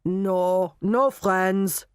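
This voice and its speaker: A woman acts out a gruff man under hypnosis in a storybook. He speaks very slowly and mechanically, obediently owning up with a heavy, blank manner. The sound is dry and close. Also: medium pitch, dry recording. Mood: robotic, obedient. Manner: slow, robotic delivery.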